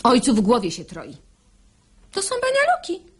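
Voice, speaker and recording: An actress playing a bold, bossy maidservant in a classical radio comedy, scolding and teasing in verse with a husky voice. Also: female voice, fairly high pitch, wildly swooping pitch, exaggerated voice. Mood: amused, scheming. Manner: brisk teasing, scolding, theatrical verse delivery. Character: bold, bossy young-hearted maidservant scolding and reconciling quarrelling lovers.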